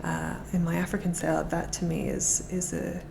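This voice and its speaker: Low gravelly woman, eager podcast guest. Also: level tone, normal speed, a little mellow, slight background noise. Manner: eager, restarts phrases often. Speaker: guest, art auction specialist. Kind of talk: casual conversation.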